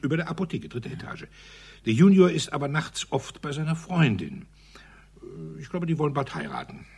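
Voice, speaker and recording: An actor playing an older retired colonel in a radio crime drama, telling things soberly in a measured, husky way. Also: male voice, fairly high pitch, big pitch swings, darkish tone, full character voice. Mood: matter-of-fact. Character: older retired German colonel, sober, bitter war veteran.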